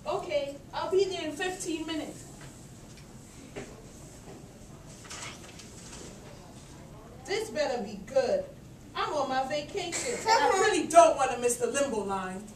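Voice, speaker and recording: A woman's voice: sits high, sing-song, normal speed. A woman voicing a genial but grumbling, mildly bossy policeman in a children's puppet show. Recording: distant echoey room with faint noise.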